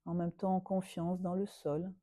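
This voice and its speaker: Female yoga teacher for guided relaxation: very dark, soft-edged tone, dry close sound, soothing and unhurried. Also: lowish voice, level tone, no echo. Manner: soothing, unhurried, with long pauses.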